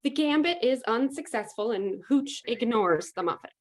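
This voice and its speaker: A woman narrating a comedy radio play with deadpan, matter-of-fact delivery. Her voice sits quite high. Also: normal speed.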